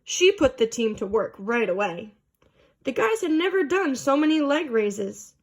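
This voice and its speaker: A woman's voice: high voice, sing-song, a little slow. A woman reading a children's storybook aloud as a narrator.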